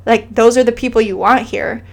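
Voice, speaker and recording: A woman's voice: a little high, steady tone, normal speed. A woman talking as an interview guest, earnest and impassioned, speaking in a casual conversational way with frequent restarts. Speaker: guest sharing her family's immigration story.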